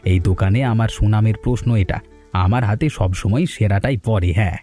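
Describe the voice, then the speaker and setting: A man's voice: lowish voice, brisk, warm tone, soft background music, natural voice. A man voicing a jovial, chatty middle-aged village butcher in a cartoon, teasing his customers. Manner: chatty, teasing, with tag "হ্যাঁ?". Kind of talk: cartoon voice acting.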